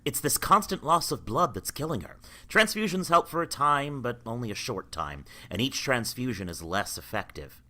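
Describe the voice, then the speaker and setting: A man's voice: medium pitch, big pitch swings, normal speed, few pauses, sharp tone. A man acting an earnest, troubled and courteous doctor in a vintage radio drama, speaking with theatrical urgency. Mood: desperate. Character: desperate, baffled male doctor, earnest and courteous.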